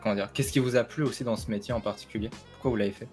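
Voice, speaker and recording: A man's voice: fairly low pitch, fairly even intonation, racing, a little mellow, faint music behind. Casual man hosting a talk show. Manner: casual, rambling questions. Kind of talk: roleplay talk show interview.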